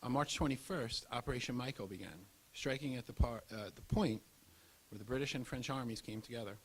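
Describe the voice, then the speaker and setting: A man's voice: medium pitch, fairly quick, husky, low-quality recording. A man reading a scripted historical narration. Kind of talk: scripted documentary narration read aloud. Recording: audible hiss and background noise.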